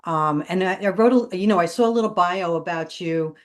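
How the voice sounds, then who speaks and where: A woman's voice: on the low side, fairly quick. A woman hosting a faith show, asking questions and affirming her guest. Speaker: show host. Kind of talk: interview questions.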